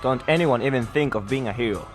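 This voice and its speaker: Man as armed robber, mid-pitched, cold, threatening, radio play with faint music. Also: quick pace, lightly acted. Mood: menacing. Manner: threatening, barking orders.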